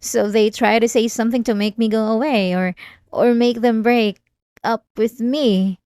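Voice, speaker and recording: Woman acting a halting, obsessive patient, close and dry. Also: a little high, steady tone, smooth, dry recording, close to an everyday voice. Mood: wounded, defensive. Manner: hesitant, halting, with sighs and nervous soft laughs. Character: obsessive, manipulative female therapy patient, outwardly calm and vulnerable. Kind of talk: intimate ASMR roleplay monologue.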